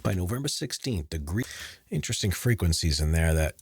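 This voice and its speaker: A man with a low, deep voice, casually explaining how he edits his voice-over recordings to a livestream audience from his home studio desk. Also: very animated, a little fast, breathy edge. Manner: talks over his own playback, breaking off to explain edits. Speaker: voice actor editing his own recordings. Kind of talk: casual livestream tutorial mixed with recorded narration takes. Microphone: studio mic on a boom arm.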